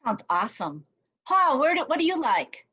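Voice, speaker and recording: A woman leads a group discussion over a video call. Her voice sits a little high, and she talks in an encouraging way, asking question after question to draw people out. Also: very animated, moderate pace, pushed and bright, breathy edge. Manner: encouraging, asks many questions. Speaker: workshop facilitator.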